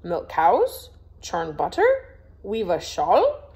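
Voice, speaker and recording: A woman's voice: on the low side, huge pitch swings, takes it slowly, mellow, heavily acted. Woman voicing a haughty, prim young lady. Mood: impatient, lecturing. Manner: prim, formal, scolding. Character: haughty, stiff, proud young lady, easily offended.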